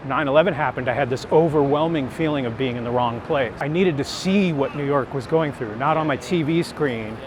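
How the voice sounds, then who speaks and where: A middle-aged American man talks in a casual, reflective way at an ordinary male pitch. He is candid and self-deprecating, like someone thinking aloud on a walk. Clear urban rumble and traffic noise sit behind his voice. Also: a little fast, few pauses. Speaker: street photographer and workshop teacher being interviewed. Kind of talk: casual reflective chat while walking. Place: outdoors on city streets. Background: urban rumble, vehicles.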